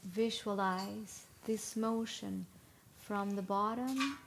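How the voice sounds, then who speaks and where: A woman leading a live guided meditation in a soothing, instructional way, with long pauses between short phrases. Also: mid-range voice. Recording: live recording with clear background noise.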